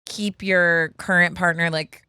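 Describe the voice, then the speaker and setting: Co-host, woman, quick reactive chatter, lowish pitch, dry mic. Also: flat delivery, sharp tone, smooth, no echo. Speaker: podcast co-host. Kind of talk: casual chat.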